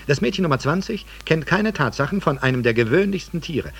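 Stiff pompous schoolmaster, gravelly and emphatic. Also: male voice, a little high, lively intonation, a little fast, fairly bright tone, heavily acted. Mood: stern, pompous. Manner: theatrical, emphatic. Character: stiff, pompous, fact-obsessed schoolmaster and his narrator. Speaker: narrator reading a literary excerpt. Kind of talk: dramatic literary reading in a radio play.

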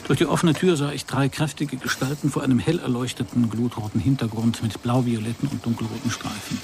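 An actor performs a male private detective for a radio crime drama. He is observant and unruffled, with a gravelly voice and a dry, wry delivery that stays polite. A faint noise sits under the recording. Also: medium pitch, steady tone, brisk, close to an everyday voice. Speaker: narrator and lead actor.

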